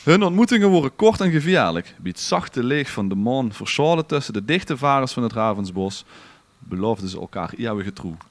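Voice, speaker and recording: A man's voice: mid-range voice, big pitch swings, moderate pace. A man with a Limburgish Dutch accent telling a scripted story in a theatrical way as a podcast co-host. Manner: dramatic, bantering with co-hosts.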